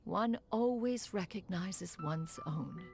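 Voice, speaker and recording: A woman's voice: medium pitch, lively intonation, slow, creaky, darkish tone, slight background noise. A woman voicing an ancient, dignified crane master who speaks in stately, archaic phrases for a video game. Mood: fond, solemn. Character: ancient dignified crane adeptus, stern yet tender female master. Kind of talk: dramatic video game voice acting.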